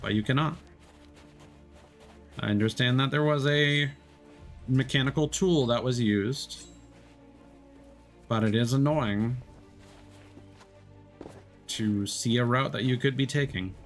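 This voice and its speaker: A man's voice: mid-range voice, takes it slowly, pauses often. A man streaming a solo game, chatting and musing aloud, with mild complaints, over faint game music. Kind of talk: casual gameplay commentary.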